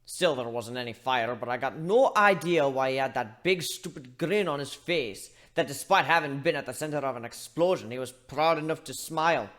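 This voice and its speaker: A man voicing a gruff, grumbling pirate shipbuilder with rough colloquial speech, in a dramatic reading. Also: medium pitch, moderate pace, hardly any pauses, fairly bright tone, close to an everyday voice. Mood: exasperated. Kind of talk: dramatic reading of fan fiction.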